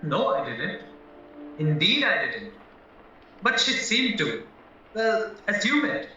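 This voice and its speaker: A man's voice: high voice, very animated, takes it slowly, pushed and bright, heavily acted. A man acting a frank, flustered young married murder suspect in an audio play, hesitant and earnest as he protests. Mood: defensive, pleading. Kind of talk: stage-style courtroom audio drama acting. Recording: echoey, distant, dull, music behind.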